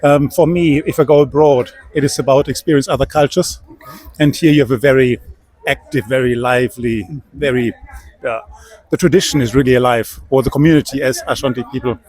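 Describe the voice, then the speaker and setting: A man's voice: mid-range voice, fairly even intonation, fairly quick, darkish tone. A man from Germany with a German accent, giving thoughtful, hesitant answers in an interview as a tourist.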